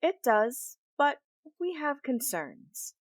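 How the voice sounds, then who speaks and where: An actress playing a formal, cautious Jedi council master in an audio drama, speaking in a guarded manner. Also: female voice, high-pitched, big pitch swings, slow pace, full character voice.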